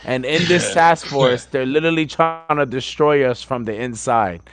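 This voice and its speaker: A man's voice: mid-range voice, normal speed, over music. A man co-hosting a podcast, talking in a rowdy, joking way and swearing a lot. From Puerto Rico. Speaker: podcast co-host. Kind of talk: casual profane banter.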